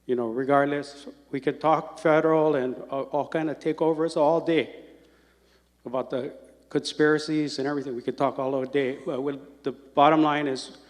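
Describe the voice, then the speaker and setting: A man from Hawaii giving earnest, halting testimony at a public meeting, often repeating words. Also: a little high, steady tone. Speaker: public commenter. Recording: public hall with long reverb.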